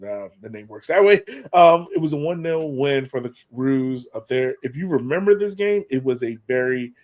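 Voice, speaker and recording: A man's voice: medium pitch, lively intonation, moderate pace. An American man chatting casually as co-host of a sports podcast.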